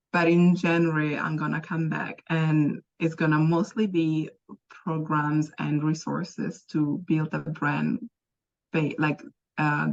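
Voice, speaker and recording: A woman's voice: deep voice, creaky, dull audio. A woman whose first language is Italian, speaking English with an Italian accent as a podcast guest, chatting expressively and laughing often. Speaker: guest, business founder. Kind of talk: casual chat.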